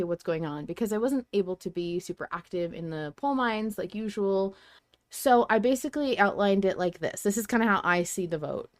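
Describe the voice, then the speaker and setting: A woman's voice: medium pitch, no room sound. A woman hosting a podcast, talking in a casual, conversational way and reacting readily. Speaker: podcast host.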